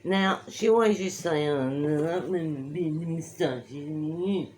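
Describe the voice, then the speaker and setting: A woman's voice: low-pitched, unhurried, darkish tone, slight background noise. A woman co-hosting a casual chat, teasing and drawing out her words for emphasis. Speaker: co-host.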